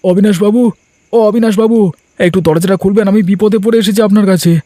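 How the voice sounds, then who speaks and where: A man's voice: high voice, fairly even intonation, fairly quick, mellow, slight background noise, lightly acted. A man voicing a poor, henpecked village farmer in a cartoon, sarcastic and exasperated, with a whining, complaining manner. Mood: distressed, complaining. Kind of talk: Bengali cartoon dubbing. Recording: music behind the voice.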